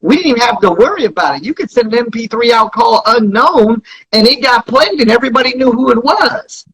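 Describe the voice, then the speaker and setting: A man's voice: extremely high pitch, lively intonation, fairly quick, fairly bright tone, slightly rough, low-quality recording. A man speaking emphatically as an interview guest, repeating key phrases to promote his business.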